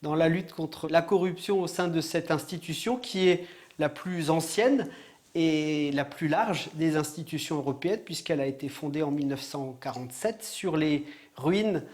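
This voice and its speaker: A man, a lawyer, speaking in an earnest, slightly halting way in an interview. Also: fairly high pitch, darkish tone. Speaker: lawyer at a European institution. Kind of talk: interview answer.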